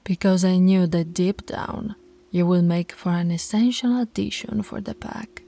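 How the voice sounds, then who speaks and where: A dominant she-wolf pack leader, acted by a woman for an intimate audio roleplay. She speaks slowly and deliberately, with a breathy, husky tone that is firm but fond. Soft music plays behind her. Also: fairly low pitch, dry recording. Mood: earnest, tender. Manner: slow, deliberate, commanding. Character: dominant female alpha werewolf, stern yet teasingly affectionate. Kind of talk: intimate ASMR roleplay voice acting.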